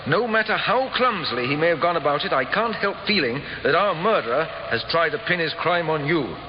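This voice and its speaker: An actor playing a composed police inspector in a radio drama, questioning in a measured, dry and politely firm way. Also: male voice, fairly high pitch, animated, natural voice. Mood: firm, confiding. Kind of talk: 1950s radio drama acting. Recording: dull, noisy, echoey old radio recording.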